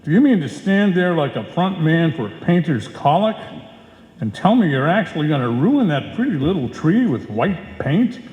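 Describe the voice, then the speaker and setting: Pompous would-be Shakespearean salesman, animated declaiming, old-time radio comedy, reverberant muffled hall. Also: a little high, normal speed. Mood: indignant, dramatic. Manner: theatrical, pompous, grandly declaiming. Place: live performance in a reverberant hall. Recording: echoey hall, dull live stage sound.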